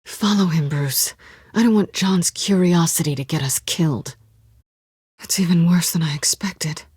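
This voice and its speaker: An American woman playing a sly, guarded cat-burglar in a video game, teasing and coolly wry, with a husky, breathy voice. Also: fairly low pitch, measured pace, darkish tone, no room sound, studio quality. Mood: guarded, serious.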